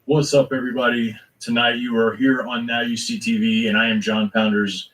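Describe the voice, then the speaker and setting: A man's voice: medium pitch, flat delivery, moderate pace, fairly bright tone, low-quality recording. A man hosting an online show, addressing his viewers earnestly before reading them a letter. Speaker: channel host.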